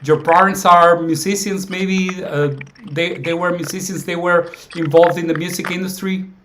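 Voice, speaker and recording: A man's voice: high-pitched, steady tone, fairly bright tone, a little hiss or hum, poor audio. A man hosting a casual interview, often restarting and repeating words as he frames his questions. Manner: halting, repeats words while framing questions. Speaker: interview host.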